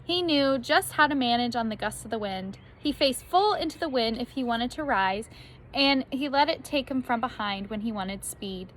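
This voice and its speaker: A woman reading a children's story aloud like a teacher, expressively, with animated emphasis on key words. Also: on the high side, moderate pace, slight background noise.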